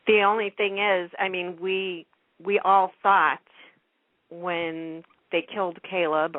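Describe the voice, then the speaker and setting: A woman's voice: fairly low pitch, dry recording. A woman hosting a radio show, chatting casually over a muffled, telephone-like line. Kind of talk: casual chat.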